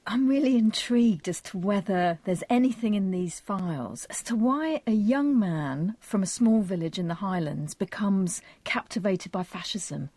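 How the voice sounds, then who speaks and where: A woman with a medium-pitched voice presents a history documentary. She narrates from a script and puts questions to her interviewees as a historian would. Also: expressive, normal speed, few pauses, mellow, no echo.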